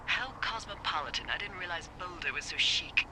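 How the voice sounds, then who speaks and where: A woman's voice: on the low side, lively intonation, a little fast. A woman playing a wry, teasing fire lookout supervisor in a video game, talking playfully over a walkie-talkie. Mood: flirty, teasing. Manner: playful, sardonic. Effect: walkie-talkie radio. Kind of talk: naturalistic video game voice acting. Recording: radio-filtered voice with clear background noise.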